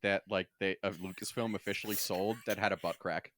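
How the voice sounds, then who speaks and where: Lower-voiced man in casual podcast chat. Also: steady tone, a little fast, a bit bright, dry recording, clean studio sound. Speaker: podcast host. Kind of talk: casual discussion of a sci-fi franchise.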